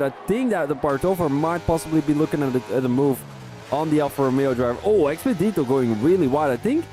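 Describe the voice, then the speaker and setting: Man, race commentator, slightly high voice, chatty and rambling, faint music behind. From Belgium. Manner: rambling chatty asides, rising to excited calls on overtakes. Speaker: sim racing league commentator.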